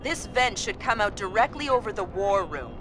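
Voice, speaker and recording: Actress as businesslike, mid-pitched mission technician. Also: female voice, very animated, normal speed, background noise, natural voice. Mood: focused. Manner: businesslike, matter-of-fact. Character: focused female intelligence technician briefing a field agent. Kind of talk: video game radio dialogue.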